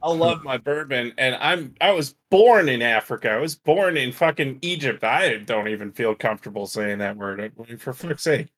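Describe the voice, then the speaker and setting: Brief: male panelist, mid-pitched, blunt and profane. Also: moderate pace, few pauses, fairly bright tone. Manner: blunt, swears for emphasis. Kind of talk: casual banter.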